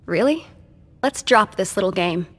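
An actress voicing a defiant, world-weary former servant of a vampire in a video game. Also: female voice, medium pitch, lively intonation, unhurried, fairly bright tone, husky. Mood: direct, defiant. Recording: long reverb.

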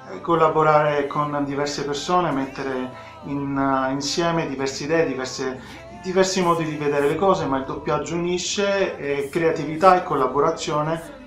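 A man's voice: on the high side, a bit bright. A young man talking casually and hesitantly to camera in an echoey room at home. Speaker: amateur voice actor. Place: small indoor room at home. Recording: roomy echo.

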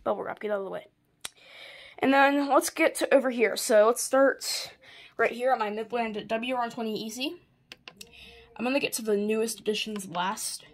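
A hobbyist showing off a collection in a casual, halting, offhand way, filmed on a handheld device in a bedroom. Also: mid-range voice. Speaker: hobbyist video maker. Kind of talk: casual show-and-tell of a collection. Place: small carpeted bedroom, indoors. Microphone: handheld phone or camera, moving around.

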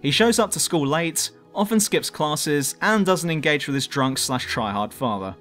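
A man's voice: on the high side, expressive, moderate pace, fairly bright tone, faint music behind. A man reading a scripted countdown review as host, with a cheeky, irreverent manner. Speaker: host and reviewer of a top ten list show.

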